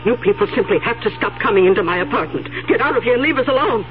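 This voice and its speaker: A woman's voice: a little high, animated, rapid-fire, lightly acted. An actress playing an indignant, confused old woman living alone, fussy and flustered, in a radio drama. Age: older. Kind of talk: 1970s radio drama acting. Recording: muffled old radio broadcast with music.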